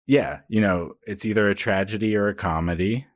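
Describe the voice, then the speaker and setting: A man's voice: lowish voice, fairly quick, no room sound, studio quality. A man co-hosting a podcast with casual comedic banter in a playful, mock-earnest way. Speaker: podcast co-host.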